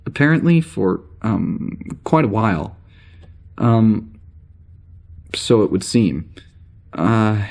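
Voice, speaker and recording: A man's voice: fairly low pitch, a little mellow. A man playing a weary, wry spaceship security officer recording a log in an audio drama, rambling casually and hesitantly. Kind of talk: audio drama spoken log entry, naturalistic.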